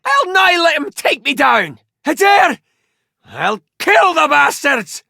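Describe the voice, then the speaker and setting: Refined male adventurer, fantasy game, formal old-fashioned speech, cautious yet fiery, highly animated intonation, dry studio sound. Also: slow pace, gravelly, no echo, exaggerated voice. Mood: desperate, shouting. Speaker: party companion character in a role-playing game. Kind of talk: fantasy video game voice acting.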